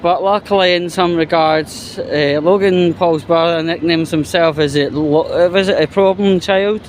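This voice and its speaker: Guy doing casual opinion rambling, slow and halting, kinda disapproving, clear background noise like traffic or outdoors. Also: on the high side, steady tone. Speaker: commentary YouTuber.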